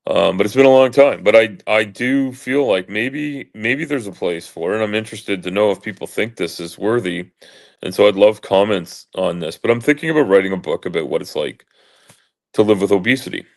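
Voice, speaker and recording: A man in his late forties with a deep booming voice, talking haltingly with many fillers while in pain and trying to keep his voice even. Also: gravelly. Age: 40s. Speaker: vlogger. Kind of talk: casual monologue.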